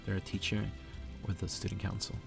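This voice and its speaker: Guy reading a kindly male teacher's story lines, soft, low and crackly, music behind. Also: monotone, brisk, creaky. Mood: calm explaining. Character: easygoing, kindly male schoolteacher, read softly.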